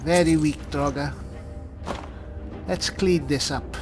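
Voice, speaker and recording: A man's voice: fairly high pitch, fairly even intonation, takes it slowly. A man doing in-character gameplay commentary, musing to himself in short remarks. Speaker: gamer narrating a roleplay playthrough. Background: music behind the voice.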